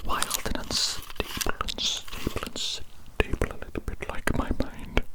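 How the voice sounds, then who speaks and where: A woman whispering breathily in a soothing ASMR roleplay as an eccentric, gentle alien doctor, softly repeating words and phrases. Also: measured pace.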